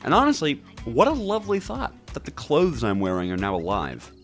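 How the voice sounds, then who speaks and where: A man hosting a gaming video, narrating from a script with wry, jokey asides and an expressive delivery. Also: mid-range voice, brisk, faint music behind.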